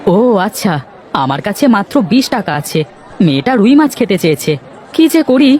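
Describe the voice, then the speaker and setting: A man voicing a poor, gentle, worried village father in a cartoon, soft-hearted and plaintive. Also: high-pitched, very animated, moderate pace, a faint crowd, slight background noise. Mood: despairing. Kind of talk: Bengali cartoon voice acting.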